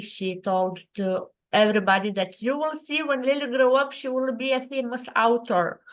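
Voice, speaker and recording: Woman guest, earnest and reflective, slow measured delivery, video call audio. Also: mid-range voice, vocal fry, low-quality recording. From Lithuania. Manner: earnest, reflective, with non-native phrasing. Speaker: guest, writer. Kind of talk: personal storytelling in an interview. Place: home in Croatia, on a video call.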